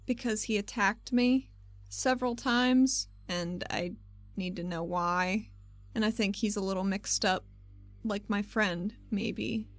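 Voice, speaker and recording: A woman's voice: mid-range voice, slow pace, dry recording, soft background music, lightly acted. A woman playing an earnest, apologetic but determined adventurer in a tabletop roleplay, speaking politely and hesitantly.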